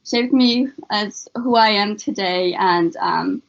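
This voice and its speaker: Young woman from Afghanistan, earnest interview guest, mid-pitched, slightly muffled sound. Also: slow pace, gravelly. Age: young adult. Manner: earnest, many um fillers. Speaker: student guest. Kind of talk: interview answers, personal story.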